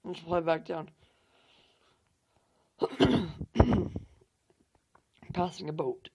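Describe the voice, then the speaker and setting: A young man hosting a gaming channel, hoarse from losing his voice, rambling through casual gameplay commentary with fillers and swearing. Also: a little high, lively intonation, drawn-out words, background noise.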